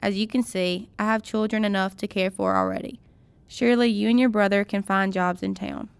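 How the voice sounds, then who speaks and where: A woman's voice: medium pitch, steady tone, fairly quick, creaky, clear, resonant tone, no room sound, lightly acted. Woman acting a stern, haughty lady of the house. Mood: stern, dismissive. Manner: formal, clipped, haughty.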